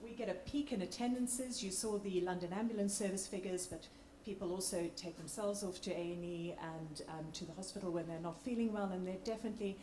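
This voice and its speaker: A woman doctor with a slightly croaky voice, giving an informal, somewhat hesitant public health presentation. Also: fairly low pitch, dark tone. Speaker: doctor, clinical respiratory lead. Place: large indoor room at a public meeting. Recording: echoey room with background noise.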